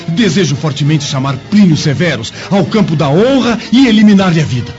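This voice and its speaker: A man plays a wounded, honour-bound Roman officer in a radio drama. He speaks in solemn declamation, fairly high for a man, full of anguish. Faint music sits behind a slightly dull recording. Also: darkish tone, natural voice. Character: anguished, betrayed Roman officer resolved to die.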